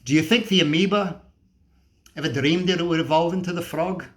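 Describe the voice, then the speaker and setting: Man playing feverish male doomsayer, cynical, scornful, slightly high voice, emphatic rhetorical ranting, stage-style monologue. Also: a little fast, natural voice. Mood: fervent. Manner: emphatic, preachy, sweary ranting with rhetorical questions. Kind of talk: dramatic monologue performance.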